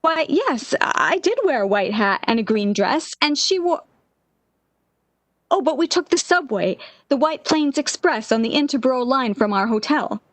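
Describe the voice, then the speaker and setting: A woman playing a distraught, obsessive lovesick woman in a 1940s-style radio drama, with an intense, halting, theatrical delivery. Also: high-pitched, animated, dry recording. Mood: anxious.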